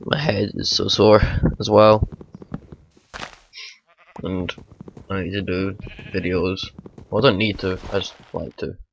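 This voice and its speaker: A man, hoarse from a bad cold, rambling through casual let's play commentary at home. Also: on the low side, a little hiss or hum, poor audio. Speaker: gaming channel host.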